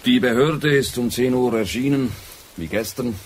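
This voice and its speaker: An actor playing an earnest, dutiful younger man in a radio drama, with a husky voice. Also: medium pitch, moderate pace, slight background noise, natural voice.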